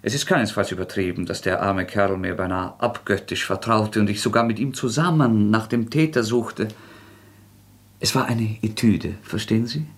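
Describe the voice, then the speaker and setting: An actor playing a proud young intellectual murderer in a radio drama, sarcastic, agitated and theatrical, defiant and brittle. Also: male voice, fairly low pitch, normal speed, natural voice. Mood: feverish boasting. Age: young adult. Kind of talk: German radio drama acting.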